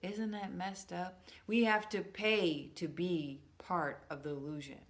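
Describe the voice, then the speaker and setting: A woman with a low, deep-set voice muses aloud to her viewers in an easygoing vlog. She rambles, restarts her sentences and draws certain words out slowly for weight. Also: fairly even intonation. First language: English. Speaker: vlogger. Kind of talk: casual musing monologue to viewers.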